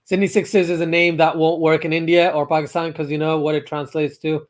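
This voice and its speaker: A man hosting a cricket watchalong stream, reading viewer comments aloud and updating the score in a relaxed, chatty way. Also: on the high side, a little fast. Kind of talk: casual chat over a live stream.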